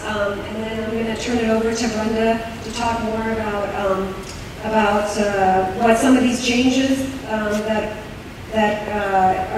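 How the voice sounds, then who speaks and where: Hesitant woman in an echoey hall. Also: on the high side. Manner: hesitant, many ums and restarts. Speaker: policy speaker at a town hall. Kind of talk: informal public talk with many fillers. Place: large echoey meeting hall. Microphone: room mic heard from a distance. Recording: echoey hall recording from far off with background noise.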